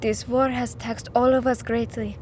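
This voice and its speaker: An actress playing a patient but firm middle-aged wife and mother in an audio drama, speaking in measured, formal phrases. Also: a little high, little pitch movement, darkish tone, slight background noise, natural voice. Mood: concerned, pleading. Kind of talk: scripted audio drama acting.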